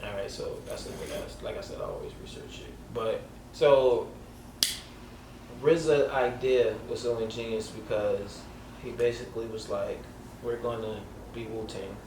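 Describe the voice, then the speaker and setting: A man's voice: lowish voice, fairly even intonation, moderate pace. A man with a light voice hosting a casual interview, talking loosely with lots of slang and "like" fillers. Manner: loose and rambling, heavy on filler "like" and street slang. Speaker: podcast host. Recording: echoey room, mic at a distance, noisy background.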